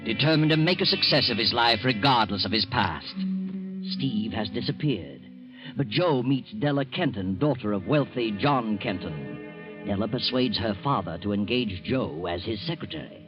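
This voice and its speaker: A man's voice: on the low side, expressive, moderate pace. A man giving scripted narration for an old radio drama, on a muffled vintage broadcast. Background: loud music.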